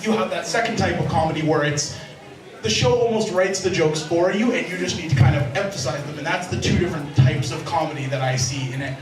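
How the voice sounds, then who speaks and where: Higher-pitched man explaining from afar. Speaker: convention panelist, fan-dub creator. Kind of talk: informal explanatory panel talk. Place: convention panel room. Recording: distant, echoey, noisy convention room recording.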